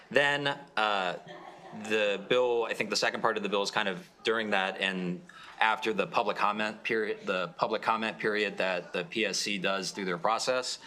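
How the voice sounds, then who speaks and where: A young man, a legislator, explaining his bill to a committee in a halting way with many fillers. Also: lowish voice, sharp tone. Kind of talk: testimony at a committee hearing.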